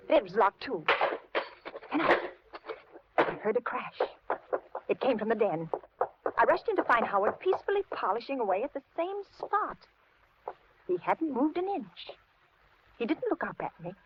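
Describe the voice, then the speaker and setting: Actress as anxious housewife, widely swinging voice. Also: female voice, mid-range voice, close to an everyday voice. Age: middle-aged. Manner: anxious, hesitant, dramatic. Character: frightened, kindly middle-aged housewife, mother of soldiers. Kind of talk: 1950s radio suspense acting and first-person narration. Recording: muffled, noisy 1950s radio recording.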